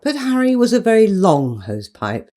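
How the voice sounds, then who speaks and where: A woman narrating a children's bedtime story in an expressive, playful storytelling manner. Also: mid-range voice, moderate pace, music in the background.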